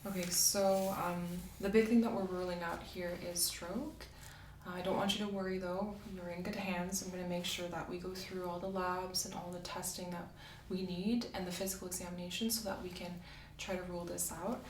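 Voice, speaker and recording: A soft-spoken woman doing an ASMR medical roleplay as a doctor, asking methodical questions in a reassuring way. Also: fairly low pitch, little pitch movement, fairly quick. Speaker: ASMR creator playing a doctor. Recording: roomy, distant mic, faint hiss.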